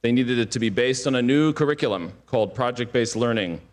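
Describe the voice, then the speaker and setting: A man's voice: medium pitch, fairly quick. A man giving an earnest, heartfelt speech at a ceremony.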